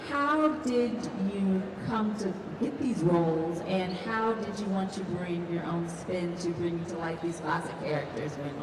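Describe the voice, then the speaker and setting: A woman moderating a convention panel with an enthusiastic, excited manner. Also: on the low side, a little slow, few pauses. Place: large indoor convention hall stage. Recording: echoey hall, crowd and noise, distant.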